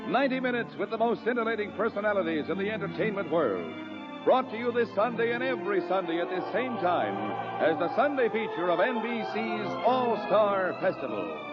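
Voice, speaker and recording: A man's voice: sits high, big pitch swings, brisk. A man announcing a 1950s network radio show in a grand, declamatory style. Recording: dull old radio recording, loud music behind.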